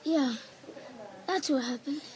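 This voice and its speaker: A woman's voice: sits high, slow pace, a little mellow. A woman playing a hurt young boy. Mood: weak. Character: injured young boy in a hospital bed.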